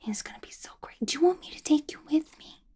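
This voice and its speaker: A woman's voice: sits high, expressive, fast, mellow. A woman playing a playful, unhinged, gossipy female villain inmate in a chatty, conspiratorial whispered ASMR roleplay. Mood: dreamy, chatty.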